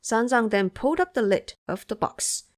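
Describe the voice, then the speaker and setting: A woman's voice: a little high, animated, moderate pace. A woman narrating a scripted audio drama as a storyteller.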